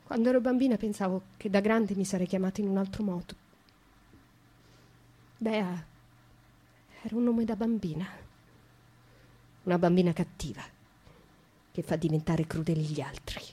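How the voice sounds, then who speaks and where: Actress playing a haunted young woman, mellow husky voice, hushed radio monologue. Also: medium pitch, close to an everyday voice. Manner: slow, intimate, hushed narration. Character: haunted young woman recounting dark dreams. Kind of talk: theatrical radio drama monologue.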